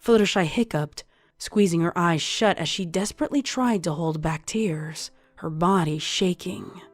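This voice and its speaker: A woman's voice: lowish voice, moderate pace, no echo. A woman reading scripted horror fiction as a dramatic story narrator. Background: music under the voice.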